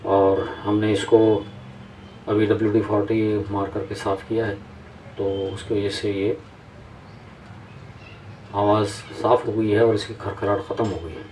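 A man's voice: fairly low pitch, flat delivery, measured pace, long pauses, a little mellow, slight background noise, rough recording. A man giving an informal hands-on repair tutorial, explaining step by step to viewers in a home-made recording.

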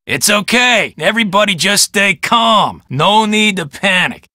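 A self-important detective in a video game. He is brash and commanding, with a high, forceful voice that has a gravelly creak in it. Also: animated, unhurried, no room sound, clean studio sound, exaggerated voice. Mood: urgent, commanding.

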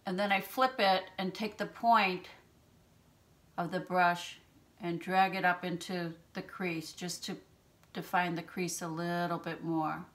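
A woman in her fifties hosting a makeup tutorial from a small room at home, explaining each step in a gentle, chatty way and clearing her throat now and then from allergies. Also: fairly low pitch, steady tone, measured pace. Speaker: beauty channel host.

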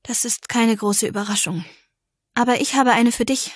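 A woman voicing an easygoing middle-aged male policeman in an audiobook, talking in a relaxed, gently teasing and tentative way. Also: fairly high pitch, moderate pace, breathy edge, no room sound, studio quality. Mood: warm, teasing.

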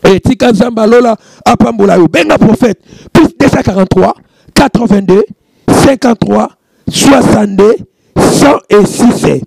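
A preaching man with a strikingly high, gravelly and husky voice holds a handheld mic in a dry studio. He chants exclamations and mixes French with Lingala as he delivers messages. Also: normal speed, a little mellow, dry recording, rough recording. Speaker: prophet and show host. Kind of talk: preaching and reading out prayer messages. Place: studio with warm lamp backdrop. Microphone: handheld microphone.

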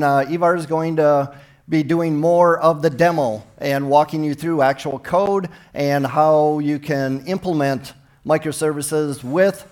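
Man on a big conference stage, slightly high voice, easygoing. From Minnesota, United States. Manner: friendly, conversational, with restarts. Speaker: conference speaker. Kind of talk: technical conference talk. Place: large conference hall stage.

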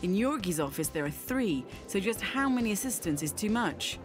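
Female narrator, brisk scripted reading, music bed under her. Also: mid-range voice, expressive. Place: voice-over studio. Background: background music.

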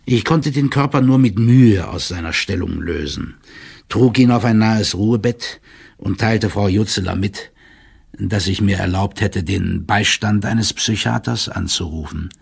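An actor playing a stout official who reads out a stiff, drily ironic report in an audio drama, in a gravelly, husky, measured voice. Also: male voice, on the low side, full character voice. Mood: uneasy, measured. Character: stout male official giving a stiff, wry written report.

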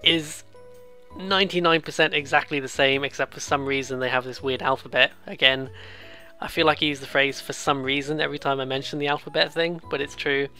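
A let's player giving casual, excitable, rambling game commentary, often interrupting themselves and reading dialogue aloud. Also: mid-range voice, brisk. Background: game music.

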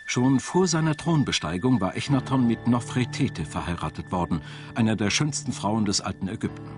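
Male documentary narrator, lower register, measured storyteller's read of a script, laid over prominent music. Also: expressive. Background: background music.

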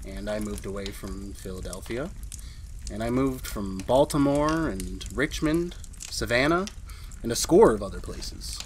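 A man's voice: medium pitch, fairly bright tone. Wry ageless husband played by a man, noisy recording. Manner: wry, reflective storytelling. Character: ageless centuries-old man married to a witch, wry, uneasy. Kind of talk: retro 1940s radio drama narration and acting.

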